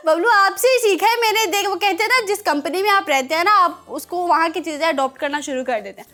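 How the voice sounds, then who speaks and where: A woman with a Pakistani accent presenting a children's show in a playful, chatty, animated way. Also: extremely high pitch, fast talker. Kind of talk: playful quiz and segment presenting.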